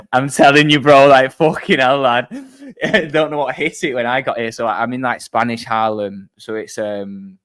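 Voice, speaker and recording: A man's voice: mid-range voice, expressive, measured pace, a bit bright. A young man with a Mancunian accent from near Wigan, chatting casually as a podcast host, swearing and laughing a lot. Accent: Mancunian, Wigan-tinged. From Manchester area, England. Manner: sweary, laughs often, lots of fillers. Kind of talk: casual chat.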